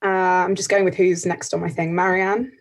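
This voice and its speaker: A woman's voice: fairly low pitch, little pitch movement, a little fast. An eighteen-year-old woman from Kent moderates a panel over a video call, friendly and chatty, with frequent ums. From Kent, England. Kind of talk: casual panel introduction.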